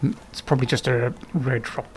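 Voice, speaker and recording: A man's voice: mid-range voice, fairly even intonation, normal speed, a little mellow, slight background noise. A man giving casual, unscripted gameplay commentary, musing to himself as he plays. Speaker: gameplay video host. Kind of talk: casual let's play commentary.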